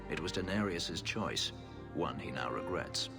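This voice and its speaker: A man playing a bitter, guarded escaped elf slave in a video game, speaking formally and gravely in a weighty voice. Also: extremely low pitch, animated, exaggerated voice. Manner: formal, grave, measured speech. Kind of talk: dramatic video game acting. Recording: music playing behind the voice.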